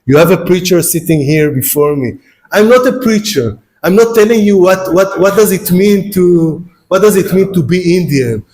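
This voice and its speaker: A man's voice: high voice, expressive, moderate pace, darkish tone, a little hiss or hum. A man with an Israeli accent, a native Hebrew speaker, giving a university philosophy lecture and speaking straight to his audience, often repeating and restarting his words. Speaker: guest lecturer. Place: lecture hall.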